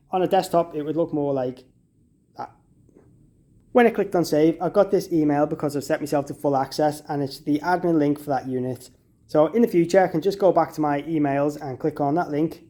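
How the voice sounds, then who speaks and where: Higher-voiced man giving casual tutorial. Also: moderate pace, warm tone. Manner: informal, conversational, explaining step by step. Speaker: product demonstrator.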